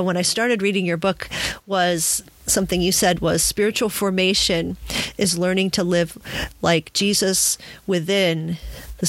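Low-pitched woman hosting a casual podcast. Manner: chuckles often while talking. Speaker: podcast host. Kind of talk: casual conversational interview.

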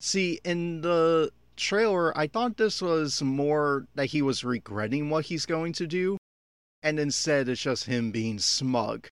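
A man's voice: a little high, takes it slowly, clean tone, no room sound, clean studio sound. A man giving unscripted, opinionated audio commentary on an anime episode, critical and exasperated in manner.

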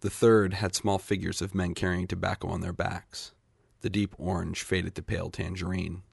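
A man's voice: sits low, flat delivery, moderate pace, vocal fry, slightly rough, dry recording, clean studio sound. A man reading literary short fiction aloud as a scripted narration. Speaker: short story reader.

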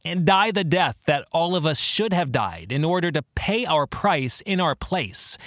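A man hosting a solo podcast in a reflective way, speaking earnestly with deliberate, emphatic phrasing. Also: fairly high pitch, fairly bright tone, no room sound, studio quality. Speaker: podcast host and author.

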